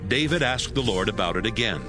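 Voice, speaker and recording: A man's voice: on the low side, lively intonation, fairly quick, over music. A man giving scripted narration of a Bible story as the narrator.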